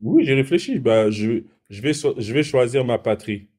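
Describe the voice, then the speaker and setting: Male debate guest from the Republic of the Congo, mid pitch, calm, hesitant, argues back. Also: normal speed. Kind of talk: live online debate.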